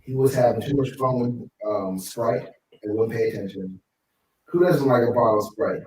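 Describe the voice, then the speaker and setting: A man's voice: medium pitch, moderate pace, mellow, distant mic, a little hiss or hum. A man co-hosting a casual movie review chat. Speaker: podcast co-host. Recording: echoey room, chatter behind.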